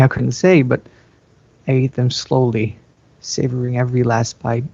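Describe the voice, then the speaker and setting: Creaky young man reading a story aloud. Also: medium pitch, darkish tone. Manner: theatrical, playful. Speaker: amateur fan fiction reader. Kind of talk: dramatic amateur story reading. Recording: muffled, echoey home recording.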